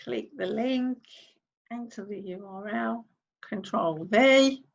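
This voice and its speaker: A woman's voice: on the high side, slow, vocal fry, lo-fi sound. A woman, hosting a casual screen-share tutorial, chatting as she clicks through steps and reads on-screen text aloud. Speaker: online-income YouTuber host.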